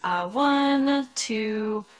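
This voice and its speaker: A woman's voice: fairly high pitch, very slow. A synthetic female voice reading a short text sample.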